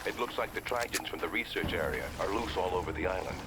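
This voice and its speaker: An actor playing a steady, businesslike ally guiding a game hero over a noisy radio. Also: male voice, low voice, fast, close to an everyday voice. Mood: urgent briefing. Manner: businesslike, instructive. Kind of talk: video game cutscene acting. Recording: very noisy radio-style transmission.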